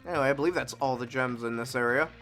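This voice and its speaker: A man casually commenting on a video game he plays, sniffly from a recent sneeze, chatty and quick to swing between excited shouts and low mumbles. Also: soft background music. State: sniffly nose. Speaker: let's play host.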